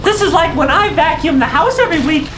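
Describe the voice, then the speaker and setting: A woman's voice: high voice, animated, fast. A woman voicing a silly, chirpy motherly figure in a kids' reaction video, with exaggerated sing-song reactions. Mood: chatty, teasing. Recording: music bed under the voice. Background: background music.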